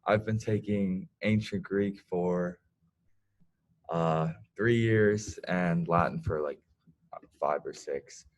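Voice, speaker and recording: A teenage boy from Germany, a student organizer, talking in a relaxed, upbeat way with fillers on a video call. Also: low-pitched, slow. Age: teens. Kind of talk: casual video call meeting.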